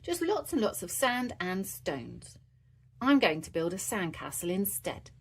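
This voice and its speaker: A woman voicing a cheerful, excitable little girl pig in a children's storybook. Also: medium pitch, measured pace, husky, full character voice. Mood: bored.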